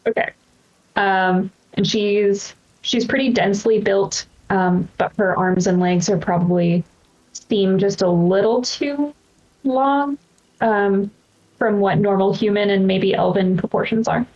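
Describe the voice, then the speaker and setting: A woman's voice: mid-range voice, unhurried, darkish tone, highs cut off. A woman playing a tabletop roleplaying game, describing her character casually and a little tentatively.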